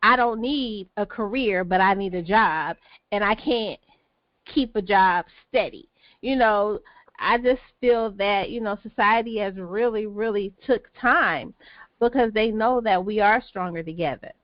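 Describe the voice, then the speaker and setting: A woman's voice: mid-range voice, a little slow, no room sound, highs cut off. A woman hosting a conversational panel discussion, repeating phrases for emphasis. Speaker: podcast host.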